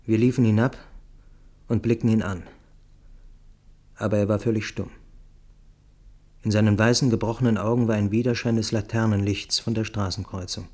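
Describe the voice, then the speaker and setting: An actor playing a grave first-person narrator in a literary radio play, speaking in a measured, deliberate way. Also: male voice, lowish voice, steady tone, a little mellow, lightly acted. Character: grave male witness recounting a deadly night attack.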